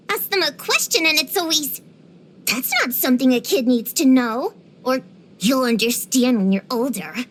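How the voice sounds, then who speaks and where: A woman's voice: high voice, a bit bright, a little hiss or hum, exaggerated voice. A woman voicing an earnest, bookish young girl witch hunter in a video game, precocious, proud and slightly dramatic. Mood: exasperated, stubborn. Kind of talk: scripted game voice lines.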